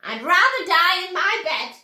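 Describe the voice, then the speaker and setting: A complaining storybook grandmother, put on by a woman, old and thoroughly indignant. She sounds high and dramatic with big swoops of pitch, in an echoing room. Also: sing-song, measured pace, bright, forceful tone, heavily acted. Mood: outraged. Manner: querulous, dramatic, exclamatory. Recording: roomy, echoing indoor sound.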